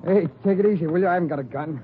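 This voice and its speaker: A man's voice: on the high side, rapid-fire, narrow, tinny audio, soft background music, close to an everyday voice. An actor playing a sarcastic, defensive suspect in his thirties, in 1940s radio acting style on an old broadcast. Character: sneering male suspect, thirty-one, cocky clothing salesman.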